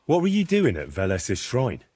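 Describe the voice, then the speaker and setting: A husky young man, a loyal medieval game hero with a blunt, sardonic way of questioning. His pitch moves a lot, and the recording is dry and close. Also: wildly swooping pitch, normal speed, darkish tone, no room sound. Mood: skeptical, sardonic. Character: blunt, loyal young medieval adventurer, exasperated but principled. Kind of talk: video game dialogue acting.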